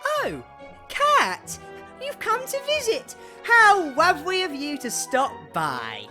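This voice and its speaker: Gushing tiny gnome lady, played by a woman, very high and bright, swoops around, drawn out. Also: huge pitch swings, slow pace, faint music behind, exaggerated voice. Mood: delighted. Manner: gushing and breathless with delight. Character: excitable little female gnome.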